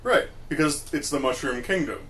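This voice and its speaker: A man's voice: on the high side, moderate pace, fairly bright tone. A man co-hosting a podcast, casually discussing films and explaining his opinions, rephrasing as he goes. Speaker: podcast co-host. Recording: noticeable background noise.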